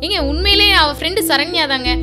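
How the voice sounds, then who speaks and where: Urgent young friend on a call, sharp high voice, radio drama style. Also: female voice, quick pace, soft background music. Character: urgent young woman, a friend calling with bad news.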